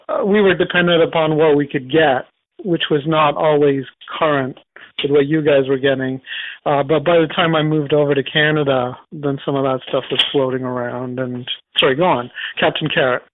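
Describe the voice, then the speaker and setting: A man's voice: a little high, no room sound. A man in his forties from Sri Lanka, interviewing a guest in loose, rambling casual chat and apologizing now and then. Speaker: podcast host. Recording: dry, tinny phone-like line.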